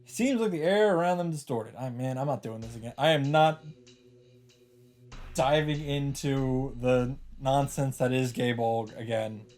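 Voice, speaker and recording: A man's voice: mid-range voice, measured pace, darkish tone. A man streaming a visual novel, reading the narration aloud and adding casual asides of his own.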